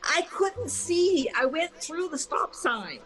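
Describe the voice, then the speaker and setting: An older woman playing a reflective character who tells her story in an audio play, measured and deliberate. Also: very high-pitched, sing-song, a bit bright, heavily acted. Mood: resolute, confiding.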